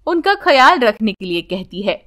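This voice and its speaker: A woman's voice: on the high side, sing-song, moderate pace, sharp tone. A woman narrating a scripted bedtime story for children as a storyteller.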